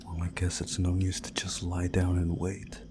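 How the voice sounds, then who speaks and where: A man reading a visual novel story aloud in a measured, dramatic storytelling way, hushed at times. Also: sits low, completely flat delivery, background noise. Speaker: video game playthrough narrator.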